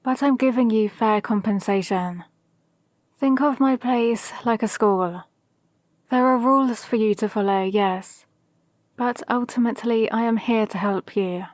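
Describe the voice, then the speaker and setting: A woman acts out a villainous sorceress training an apprentice in an ASMR roleplay. She sounds close and dry, with a fairly high voice and slow, deliberate delivery. Her manner is calm, condescending and gently controlling, with a hint of madness. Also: no echo, close to an everyday voice. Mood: knowing, gently dominant. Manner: gently dominant, condescending, measured. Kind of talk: ASMR roleplay with whispered asides. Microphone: close, dry microphone.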